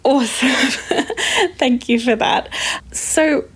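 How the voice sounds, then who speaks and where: A woman's voice: high voice, lively intonation, very slow, husky. A woman hosting a podcast, upbeat and enthusiastic in conversation. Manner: upbeat, enthusiastic, laughs easily. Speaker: podcast host. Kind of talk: podcast hosting and sponsor read.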